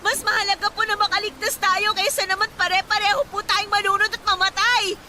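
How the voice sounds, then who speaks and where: A woman's voice: extremely high pitch, little pitch movement, a little fast, over a noisy crowd, very noisy. A woman acting a poor, worried young daughter in a Filipino radio drama, pleading and insistent with an emotional delivery. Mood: urgent, pleading. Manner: emotional, insistent, respectful to her father.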